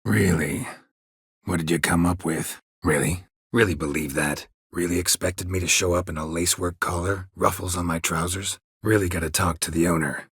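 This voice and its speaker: A man voicing a gruff, world-weary monster hunter in a video game, with a husky rasp and terse, deadpan delivery. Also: sits low, normal speed, no echo, clean studio sound, exaggerated voice. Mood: dry, matter-of-fact. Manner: terse, deadpan, clipped phrases. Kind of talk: video game character dialogue.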